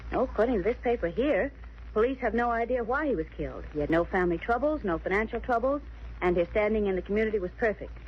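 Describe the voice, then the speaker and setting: An actress playing a detective's devoted, helpful sweetheart with an American accent, attentive and earnest in a 1940s radio drama. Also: female voice, medium pitch, animated, quick pace, natural voice. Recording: muffled old radio recording, faint hiss.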